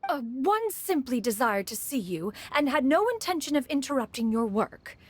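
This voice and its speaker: A woman's voice: sits high, very animated, normal speed, husky, full character voice. A woman voicing an ancient female crane spirit in a video game, formal and haughty, calling herself "one". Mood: stately.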